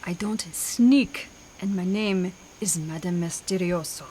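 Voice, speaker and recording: A woman's voice: fairly low pitch, unhurried, dark tone, close to an everyday voice. A woman playing a haughty, mysterious spirit medium in a radio play, eerie and theatrical. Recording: faint noisy night ambience.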